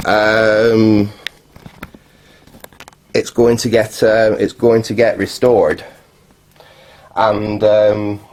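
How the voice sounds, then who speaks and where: A man giving a casual, unscripted, matter-of-fact video tour in a halting, rambling way. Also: on the low side, darkish tone. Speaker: vlogger, hobbyist restorer.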